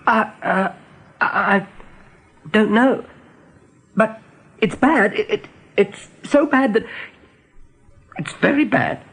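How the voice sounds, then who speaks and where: A woman's voice: medium pitch, lively intonation, takes it slowly, long pauses, a bit bright, breathy edge, full character voice. A woman playing a small, anxious young male rabbit seer in a radio drama, with a fearful, stammering delivery. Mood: nervous.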